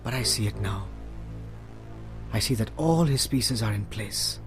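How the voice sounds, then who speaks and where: An actor playing a shrewd, commanding detective in a radio drama, speaking in a husky, deliberate, dramatic way. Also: male voice, lowish voice, lively intonation, music in the background. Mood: revealing, intent.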